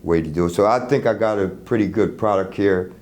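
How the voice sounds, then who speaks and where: A middle-aged man casually explaining his product in an interview, halting and repeating words as he goes. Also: fairly low pitch, a little mellow. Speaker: loudspeaker creator being interviewed. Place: hotel room at an audio show. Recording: noisy room ambience.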